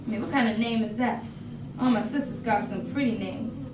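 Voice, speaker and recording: A woman's voice: fairly high pitch, fairly quick, close to an everyday voice. A woman playing a resentful, rebellious youngest sister in a dramatic monologue at a staged reading. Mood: bitter, fed up. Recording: echoey room, distant mic, noisy.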